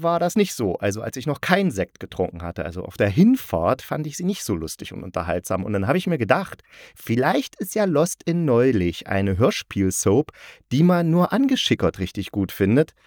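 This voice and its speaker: A man's voice: medium pitch, a little mellow, no echo, studio quality. A man hosting a podcast about audio dramas, chatting casually and rambling a little, with wry, ironic asides. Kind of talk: casual solo podcast talk.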